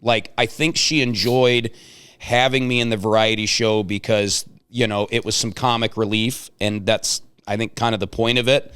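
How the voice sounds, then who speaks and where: A man's voice: on the low side, fairly even intonation, normal speed, a bit bright, clean tone. A middle-aged man hosting a casual chat, telling anecdotes and joking as he prods his guests. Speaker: show host.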